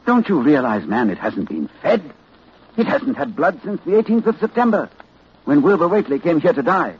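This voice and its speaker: An old, muffled and noisy radio recording of a learned doctor fighting dread. He is grave and urgent, his pitch swinging widely as he narrates with halting, dramatic weight. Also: big pitch swings. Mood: urgent, alarmed. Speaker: narrator and lead actor. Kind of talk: 1940s radio drama acting.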